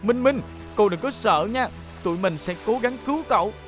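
An actor playing a friendly, steady police car rescue robot in a children's cartoon dub, earnest and reassuring. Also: medium pitch, normal speed, lightly acted. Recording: loud music behind. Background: loud music.

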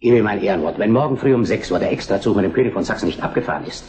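An actor playing a stern, defiant Prussian minister-president in a historical radio play, with emphatic, declamatory delivery. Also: male voice, fairly low pitch, expressive, racing, a little mellow, natural voice.

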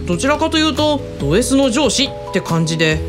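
A woman's voice: high-pitched, big pitch swings, fairly quick, music in the background. A woman playing a polite, earnest and hesitant younger colleague in an audio drama. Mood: hesitant, apologetic. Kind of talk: Japanese audio drama dialogue.

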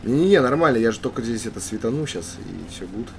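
A man's voice: medium pitch, expressive, quick pace, creaky, darkish tone, slight background noise. A man with a bad cold hosting a casual let's play in a jokey, slangy way. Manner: jokey, playful, loose slangy talk. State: sick with a cold, coughing. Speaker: gaming video host. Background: game music and tank engine sounds.

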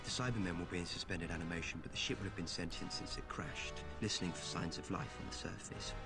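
A British actor playing a clever, eccentric time traveller in a video game, speaking briskly and gravely as he explains. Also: low-pitched. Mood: grave, explaining. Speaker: game character. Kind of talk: scripted video game dialogue. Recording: game audio under loud music.

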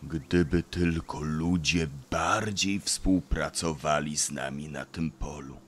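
A man playing an ancient, wise and weary grumbling beech tree in an audio drama, sighing and deliberate. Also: very deep voice, fairly even intonation, heavily acted. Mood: weary, worried. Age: older. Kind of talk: audio drama character acting.

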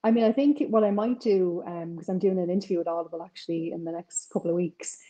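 A woman with a North Side Dublin accent speaks with a low, mellow voice over a video call. She talks chattily and animatedly, full of quick asides, from her kitchen at home. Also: poor audio. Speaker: crime author guest.

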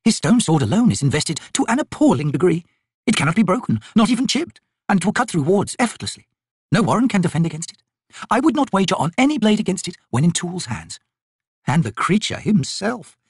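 Grand, flirtatious fantasy sorceress as a male narrator plays her, imperious and lilting, high, very animated delivery. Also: fairly quick, breathy edge, no echo, clean studio sound, exaggerated voice. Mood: intrigued, pressing. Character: haughty, teasing noble sorceress, female, flirtatious and grand.

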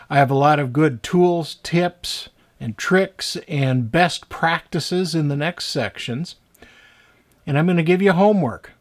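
A man in his sixties, an author and teacher, giving a scripted talk to camera in deliberate, emphatic phrases with pauses. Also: fairly high pitch. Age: 60s.

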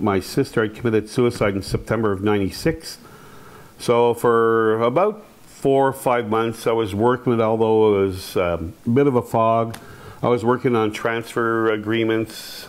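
A man in his fifties from Quebec, whose first language is Algonquin, tells his own story to a seated audience. His voice sits fairly low, and he speaks in an unhurried way, pausing often with "uh". He is picked up by a clip-on lapel mic in a hall. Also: clean tone. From Quebec, Canada. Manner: relaxed, unhurried, many "uh" pauses. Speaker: panel speaker. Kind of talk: personal storytelling at a panel. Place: hall with curtained stage, audience present.

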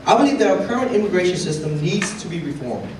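A man's voice: sits high, animated, fast talker, far from the mic, noisy background. Young man reading a speech in a hall. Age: young adult. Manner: earnest, reads from notes. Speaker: community speaker. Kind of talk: prepared speech. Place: large meeting hall, indoors. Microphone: handheld mic, far camera. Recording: echoey hall, recorded from afar.

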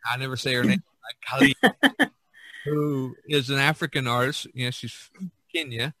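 A man's voice: medium pitch, normal speed, pushed and bright, no echo, low-quality recording. A man chatting casually as a podcast host, reminiscing in a rambling way that repeats and circles back.

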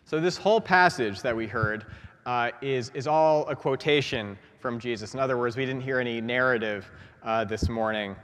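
Congested priest preaching in a reverberant church. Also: male voice, medium pitch, moderate pace, a bit bright. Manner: conversational, many fillers and restarts. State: congested from a head cold. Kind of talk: sermon. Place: large church, in the pulpit. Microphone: gooseneck pulpit mic.

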